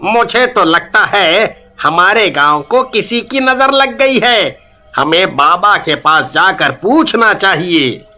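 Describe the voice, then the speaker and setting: Fretful village men, high, bright and slow-talking, voiced by a man. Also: lively intonation, heavily acted. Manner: loud, complaining. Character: worried male villagers, loud and fretful.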